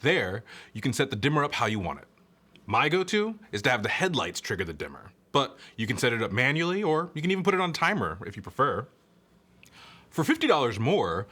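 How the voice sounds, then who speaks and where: A man's voice: medium pitch, bright, forceful tone. A man presenting a scripted product review, explaining features in a conversational way and speaking straight to the viewer. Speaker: product reviewer and presenter for a car audio retailer.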